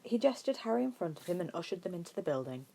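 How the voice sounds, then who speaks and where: Woman reading a story out loud, pretty low voice, expressive amateur audiobook narration. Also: fast, gravelly, low-quality recording.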